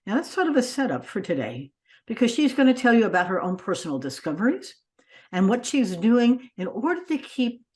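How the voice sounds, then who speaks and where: A woman hosting a podcast, introducing her guest with an enthusiastic, expressive delivery. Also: medium pitch. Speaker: podcast host.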